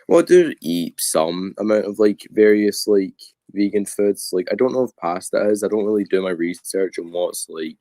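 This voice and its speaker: A man's voice: low-pitched, a little mellow, no room sound. A man from Scotland chatting casually as a guest, speaking in a hesitant, noncommittal way. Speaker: debate guest. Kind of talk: casual chat. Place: online voice chat.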